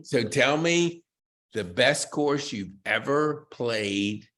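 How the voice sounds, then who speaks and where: A man's voice: medium pitch, lively intonation, takes it slowly, fairly bright tone. A man hosting a podcast interview, chatting in an enthusiastic, folksy way with golf banter. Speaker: podcast host.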